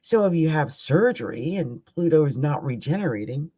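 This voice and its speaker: Woman, raspy voice, live astrology host. Loose, conversational delivery with emphatic asides. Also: deep voice, lively intonation, normal speed, mellow. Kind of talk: casual live astrology chat.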